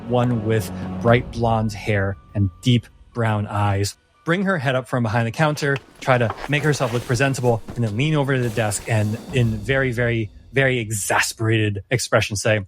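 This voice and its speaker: Male game master, medium pitch, descriptive and dramatic storytelling, clear music bed. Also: normal speed, few pauses. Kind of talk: descriptive tabletop game narration. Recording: music playing under the voice.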